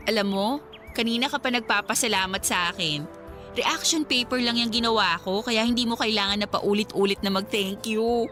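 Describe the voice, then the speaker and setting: High-voiced young girlfriend, guarded. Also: moderate pace, bright, forceful tone, soft background music. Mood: affectionate. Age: young adult. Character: young student girlfriend, affectionate but guarded. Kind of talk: Tagalog radio drama acting.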